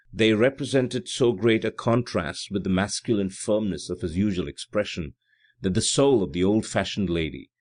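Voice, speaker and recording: A man's voice: sits low, darkish tone. A man reading literary fiction aloud as scripted audiobook narration. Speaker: audiobook reader.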